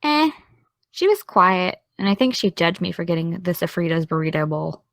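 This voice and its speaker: A woman playing a caring, gently teasing young woman in an audio drama, speaking in a casual, slightly tentative way. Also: on the low side, sing-song, moderate pace, no echo, clean studio sound. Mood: gently candid. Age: young adult. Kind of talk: naturalistic audio drama dialogue.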